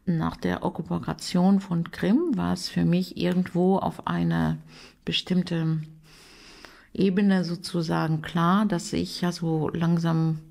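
A middle-aged woman with a Russian accent speaks in a fairly low, gravelly voice. She is a teacher giving a reflective interview, often searching for her words. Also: level tone, takes it slowly, darkish tone. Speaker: language teacher being interviewed.

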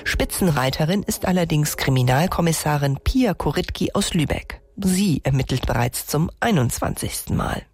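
A woman narrating a radio feature, reading a script with lively, expressive delivery. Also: sits low, normal speed, few pauses, slightly rough. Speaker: radio reporter.

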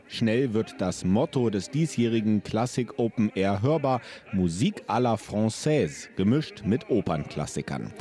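A man's voice: medium pitch, mellow. Male radio reporter reading a scripted feature. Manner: smooth, read-out narration.

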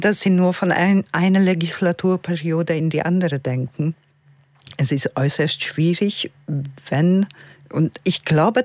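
A woman speaking as a guest on a radio talk show, earnest and expressive, rising in pitch to stress her points. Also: dry recording. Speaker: studio guest.

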